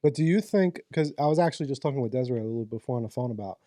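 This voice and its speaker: A man's voice: medium pitch, expressive, dark tone, no echo, studio quality. A man co-hosting a podcast in casual chat, repeating words as he gets going. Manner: quick, repeats words when starting. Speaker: podcast co-host.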